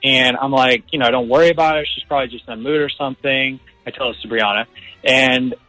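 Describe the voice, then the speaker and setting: A young man calls in to a radio show and tells his story casually and earnestly. His pitch is medium, and faint music runs behind his voice. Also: fairly even intonation, fast talker, bright, forceful tone, no room sound, poor audio.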